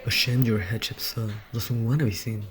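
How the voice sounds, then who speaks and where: A man's voice: mid-range voice, fairly even intonation, moderate pace, lightly acted. A man voicing a mischievous, mock-serious poltergeist who relishes attention, in a fan audio drama. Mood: gleeful, taunting.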